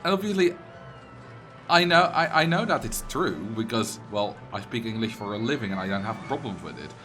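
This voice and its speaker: A man's voice: lowish voice, expressive, fairly quick, sharp tone. A man with a Dutch accent chatting casually with viewers on a livestream while he plays a game. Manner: chatty, rambling, answers viewers' messages. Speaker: streamer. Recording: game music playing behind.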